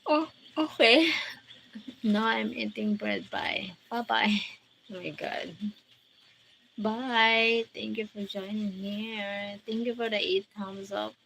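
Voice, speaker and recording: Slow, gravelly-voiced woman in her forties. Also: mid-range voice, expressive, fairly bright tone, a little hiss or hum, poor audio. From Philippines. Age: 40s. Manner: reads and answers viewer comments slowly, greeting each by name. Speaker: livestream host. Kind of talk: casual livestream chat with viewers. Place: countryside outdoors at night.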